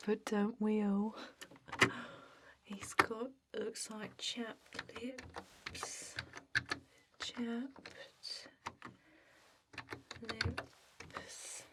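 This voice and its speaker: A woman playing a chatty energy vampire office clerk in an ASMR roleplay, speaking softly and often whispering, with a hesitant, rambling, drily jokey manner. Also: fairly high pitch, extremely slow, long pauses, heavy background noise. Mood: drily amused, then matter-of-fact. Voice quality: soft, breathy, often whispered. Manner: hesitant, rambling, deadpan workplace small talk.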